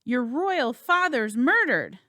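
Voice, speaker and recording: A woman's voice: high voice, sing-song, slow, sharp tone, no room sound, clean studio sound, full character voice. A woman playing an urgent, horrified nobleman raising the alarm in an amateur Shakespeare reading. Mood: stricken.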